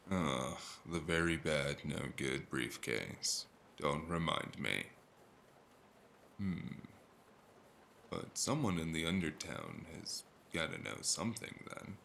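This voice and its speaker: A deep, gravelly voice with a hard edge from a male actor, a cranky, worn-out investigator in a cyberpunk noir drama. He takes his time and grumbles with dry sarcasm. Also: big pitch swings, slow, sharp tone, a little hiss or hum, full character voice. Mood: weary grumbling. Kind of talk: audio drama dialogue.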